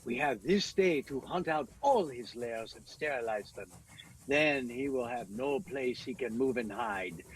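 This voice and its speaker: An actor playing an urgent, commanding professor who hunts vampires, in a dramatic radio-play style with a husky voice. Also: male voice, mid-range voice, expressive, a little slow, slight background noise, close to an everyday voice, low-quality recording. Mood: urgent resolve.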